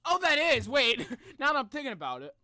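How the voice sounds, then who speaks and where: A young man giving casual, excitable live reaction commentary, exclaiming and thinking aloud. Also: extremely high pitch, fairly quick, dry recording, studio quality. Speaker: reaction video host.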